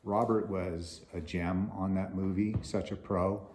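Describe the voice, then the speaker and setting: Man, low-pitched, soft dark tone, memoir storytelling, echoey room. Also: steady tone, measured pace, slight background noise. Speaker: film property master and author. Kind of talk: storytelling, reading from own memoir. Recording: roomy echo.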